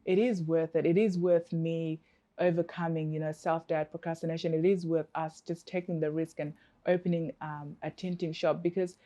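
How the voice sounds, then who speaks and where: A woman's voice: deep voice, level tone, normal speed, dark tone. A woman from Africa, a coach, answering interview questions in an earnest, reflective way with many fillers and restarts.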